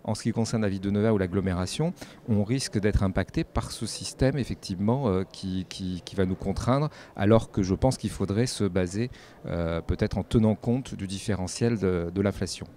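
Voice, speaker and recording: A man giving measured, explanatory spontaneous answers as a local politician in an interview. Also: on the low side, mellow. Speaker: mayor and head of a local authority, interviewee.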